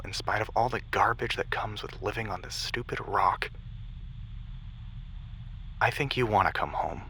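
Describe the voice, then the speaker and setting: Casting: man to play determined, defiant mission-control major for sci-fi audio drama; sharp, forceful delivery with weary, hushed drops, over faint hum. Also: on the low side. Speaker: voice actor in audio roleplay.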